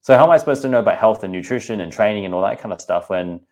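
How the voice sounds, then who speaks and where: Australian man, 30s, deep voice; chatty, candid podcast host talking straight to listeners. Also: racing, darkish tone, highs cut off. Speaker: podcast host and personal trainer. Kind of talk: casual podcast monologue.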